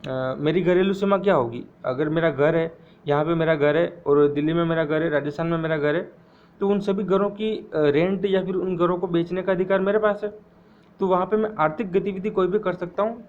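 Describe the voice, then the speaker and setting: A man teaching an exam-prep economics lecture, repeating key phrases slowly so students can memorize them. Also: high voice, phone-quality sound.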